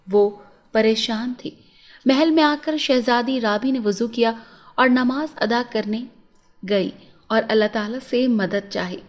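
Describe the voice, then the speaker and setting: A woman storyteller reading a scripted fairy tale for children as a narrator. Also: fairly high pitch.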